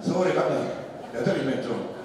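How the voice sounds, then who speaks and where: A man's voice: mid-range voice, normal speed. Male priest, Daegu accent, joking sermon storyteller, long church reverb. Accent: Daegu dialect. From Daegu, South Korea. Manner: animated, joking storyteller with rhetorical questions. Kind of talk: Lenten sermon lecture. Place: large church hall. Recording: echoey church hall, mic far off.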